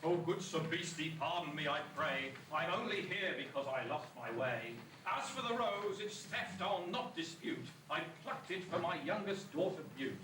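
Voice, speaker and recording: A man's voice: fairly high pitch, lively intonation, normal speed, few pauses, lightly acted. A man acting a bumbling, cheeky father in an amateur rhyming pantomime, exclamatory and comic. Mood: pleading, indignant. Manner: exclamatory, comic, theatrical asides. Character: bumbling, cheeky father lost in a fairy-tale land. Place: school hall stage. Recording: echoey distant stage recording, loud hiss.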